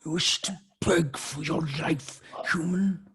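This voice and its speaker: A man acts out a menacing alien pirate captain in a table read. The voice is very husky and thick with gravel, and it delivers each contemptuous taunt slowly and deliberately. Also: a little high, fairly bright tone, full character voice. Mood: menacing contempt. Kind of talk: screenplay table read acting.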